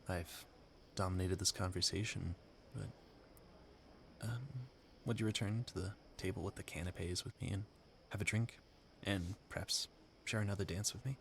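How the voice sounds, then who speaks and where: A man playing a low-voiced, earnest dreamer gentleman. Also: creaky, a little hiss or hum. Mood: sheepish. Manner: wistful, formal, flustered stammering when startled. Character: earnest dreamy young gentleman inventor at a grand ball. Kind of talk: romantic audio roleplay acting.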